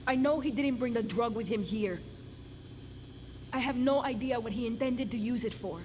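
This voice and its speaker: An actress playing a grave, guarded medical researcher in a video game cutscene, explaining in a measured, clinical way. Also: female voice, fairly high pitch, darkish tone, slight background noise, natural voice. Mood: troubled, defensive.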